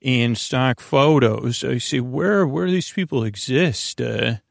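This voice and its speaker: A man hosting a bedtime podcast in a rambling, halting, self-deprecating monologue, repeating himself and trailing off mid-phrase. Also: medium pitch, vocal fry, no echo, clean studio sound.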